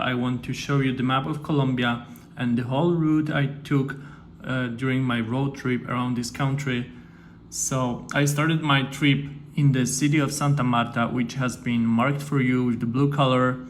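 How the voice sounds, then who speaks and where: A man hosts a travel vlog in a mid-pitched, level voice that hardly rises or falls. His English is steady and explanatory, dotted with fillers. A faint hiss runs underneath. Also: moderate pace. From Poland. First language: Polish. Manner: steady, explanatory, with frequent "uh" and "guys". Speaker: travel vlogger.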